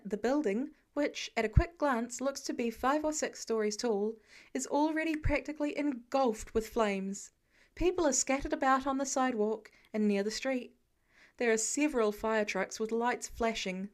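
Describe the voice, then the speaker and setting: A woman reading scripted fiction as an expressive audiobook-style narrator. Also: on the high side, normal speed. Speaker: podfic narrator.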